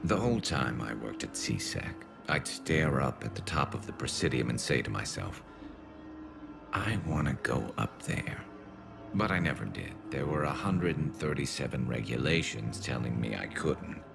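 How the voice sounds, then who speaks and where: A man playing a wry, rebellious alien ex-security officer in a video game, with a gravelly, dry, laid-back delivery. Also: animated, normal speed, heavily acted. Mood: wry, reflective. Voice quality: very deep, gravelly. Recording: background music under dialogue.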